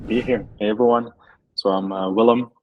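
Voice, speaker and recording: A man's voice: on the low side, fairly even intonation, fairly quick, phone-quality sound, slight background noise. A man from Belgium, a startup founder, answering interview questions thoughtfully with many ums and likes.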